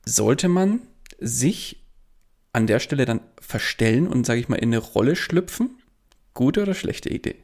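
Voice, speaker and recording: A man hosting a podcast, asking his guest friendly questions in a relaxed interview. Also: medium pitch, a little slow. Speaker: podcast host.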